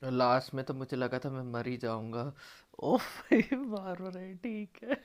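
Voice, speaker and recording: Low-pitched, rapid-talking woman game streamer. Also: lively intonation, slight background noise. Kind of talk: casual live gameplay commentary.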